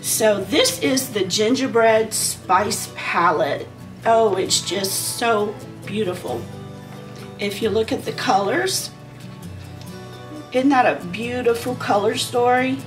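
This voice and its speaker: A woman in her forties talks through her makeup in a loose, rambling way, as if chatting with a friend. Her voice is a little hoarse from a sinus problem. She sits a short distance from the mic, with faint music playing behind her. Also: a little high, takes it slowly. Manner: rambling and conversational, talking while applying makeup. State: losing her voice from a sinus issue. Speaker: beauty vlogger. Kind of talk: casual chatty makeup tutorial.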